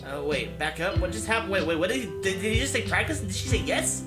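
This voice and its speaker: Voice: mid-range voice, lively intonation, fast, music in the background, natural voice. A video maker voicing an eager, flustered young foreign gamer in a visual novel, stammering and awkward. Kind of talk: visual novel character read-aloud.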